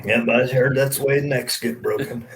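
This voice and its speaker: Guy on a podcast panel just talking casually, swears a lot, mid-pitched voice. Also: steady tone, normal speed, background noise. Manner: profane, colloquial.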